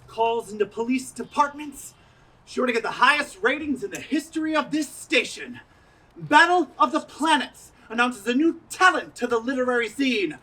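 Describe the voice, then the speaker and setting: A man's voice: extremely high pitch, pushed and bright, heavily acted. Man playing a pompous radio director, swooping delivery. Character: pompous, scornful radio director with grandiose ego. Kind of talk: community theatre stage acting. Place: outdoor stage in a parking lot. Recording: distant mic with noisy outdoor ambience.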